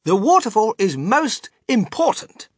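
Narrator doing an eccentric chocolate factory guy, showy n theatrical, huge pitch swings, bit husky, storybook style. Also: very animated, measured pace, no room sound, clean studio sound. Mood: gleeful, boastful. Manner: theatrical, emphatic, swooping between hushed and shrill. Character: exuberant, boastful eccentric male chocolate factory owner.

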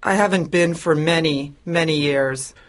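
A man's voice: fairly high pitch, unhurried, pitched above the performer's own voice, close to an everyday voice. A man voicing an anxious, tender older spinster sister in a storybook, earnest and plaintive. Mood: regretful.

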